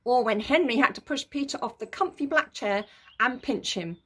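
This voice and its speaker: A woman with a fairly high voice reads a children's story aloud. Her narration is expressive and emphatic, playing up the drama for young listeners. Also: normal speed, breathy edge. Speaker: story reader.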